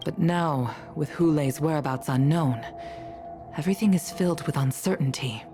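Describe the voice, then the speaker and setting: A woman's voice: deep voice, moderate pace, mellow, faint music behind, close to an everyday voice. A woman playing a serious, worried government official in a game, formal and deferential. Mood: concerned. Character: formal, worried mature female commission official. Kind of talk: dramatic game voice acting.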